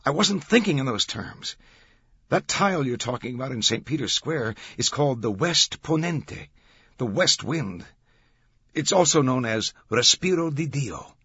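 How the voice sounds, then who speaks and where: Man playing an excitable, emphatic scholar. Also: medium pitch, a little slow, breathy edge, no room sound, dull audio, pitched above the performer's own voice, exaggerated voice. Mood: triumphant. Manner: emphatic, lecturing. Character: excitable male scholar, urgent and professorial.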